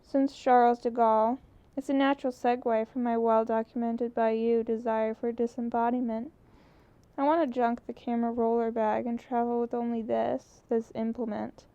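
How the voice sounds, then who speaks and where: An earnest, restless young woman photographer, as a woman reads her aloud in a storybook. Her voice is gravelly and darkly mellow. The muffled, lo-fi recording dulls it further. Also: a little high, flat delivery, normal speed, dry recording, close to an everyday voice. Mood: eager.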